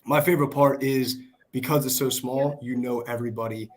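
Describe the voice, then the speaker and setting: A man in his twenties with an American accent and a hoarse voice, chatting casually as a guest. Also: medium pitch, fairly even intonation, a little fast. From Pennsylvania, United States. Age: 20s. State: hoarse from shouting. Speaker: guest, small business worker. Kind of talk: casual chat. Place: video call.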